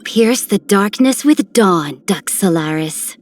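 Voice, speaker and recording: A woman's voice: mid-range voice, lively intonation, slow pace. A woman playing an imperious military commander in a video game, with grand, formal, declamatory speech. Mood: grand admiration. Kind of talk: scripted game character voicelines.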